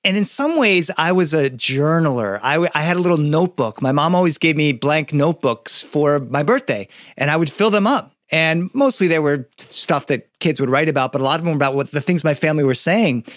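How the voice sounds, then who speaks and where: A man who is a guest storyteller and educator, talking through his story in a relaxed interview, animated and anecdotal, with fillers and restarts. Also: a little high, a little fast, few pauses, no echo, clean studio sound. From United States.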